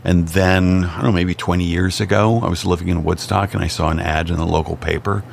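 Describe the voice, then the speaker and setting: American man, low voice, unhurried guest telling stories with faint background noise. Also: fairly even intonation, creaky. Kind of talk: casual chat.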